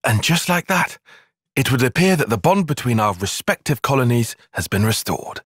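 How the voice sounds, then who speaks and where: Young brit tactician from a video game, proper and analytical, bit husky, lively pitch. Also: male voice, normal speed, no room sound, studio quality. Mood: composed, analytical. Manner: precise, formal, slightly prim phrasing. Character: analytical, reserved young male military strategist, earnest and proper. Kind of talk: video game cutscene acting.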